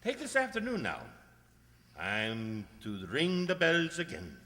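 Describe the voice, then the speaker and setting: A man's voice: fairly high pitch, wildly swooping pitch, takes it slowly, sharp tone, exaggerated voice. A man playing a cheerful, nosy, talkative village postman with a folksy, self-satisfied manner in a radio drama. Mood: chatty, proud. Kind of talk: amateur radio drama acting. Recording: long hall-like reverb.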